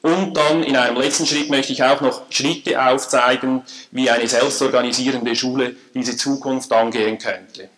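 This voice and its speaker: A man with a Swiss German accent giving a formal lecture at a conference, measured and dryly humorous. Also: medium pitch, steady tone, a bit bright, poor audio. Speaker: conference speaker. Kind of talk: academic lecture. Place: lecture hall.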